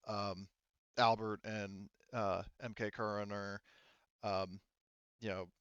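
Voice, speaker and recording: A man working as game master, narrating a tabletop roleplaying game in a halting, informal way. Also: lowish voice, little pitch movement, a bit bright, smooth, no room sound, lo-fi sound. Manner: halting, informal, talks around points.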